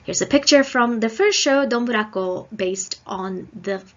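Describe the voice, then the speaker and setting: A woman delivering a scripted academic lecture in a measured, explanatory manner. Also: fairly high pitch, lo-fi sound. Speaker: guest lecturer.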